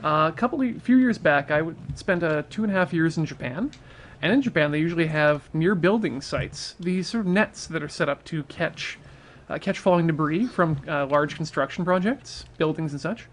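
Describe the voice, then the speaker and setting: High-pitched man telling casual stories. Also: normal speed. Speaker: storyteller on a charity livestream. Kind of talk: casual humorous storytelling.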